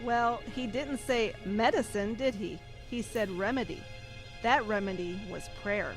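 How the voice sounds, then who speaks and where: A woman's voice: medium pitch, a little slow, lightly acted. A woman playing a patient storyteller who narrates a scripted tale for a child. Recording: clear music behind narration.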